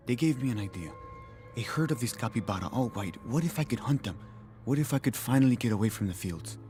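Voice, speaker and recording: A man's voice: mid-range voice, expressive, fast, a little mellow, lightly acted. A man playing a self-assured farmer turned hunter, telling his story in video game dialogue. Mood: proud, animated. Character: contented male villager, farmer turned hunter. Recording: game music behind the voice. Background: music.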